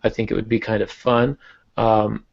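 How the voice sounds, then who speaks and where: A man's voice: lowish voice, level tone, moderate pace, vocal fry, darkish tone, dull audio. A man talking as a podcast host and writing teacher, explaining informally, stressing key words and posing rhetorical questions. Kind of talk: conversational teaching about writing.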